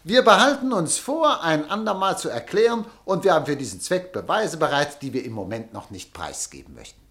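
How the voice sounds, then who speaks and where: A pushy newspaper boss. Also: male voice, on the high side, very animated, a little fast, fairly bright tone. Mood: insistent. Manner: insistent, persuasive. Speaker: newspaper director. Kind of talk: 1950s German radio play acting.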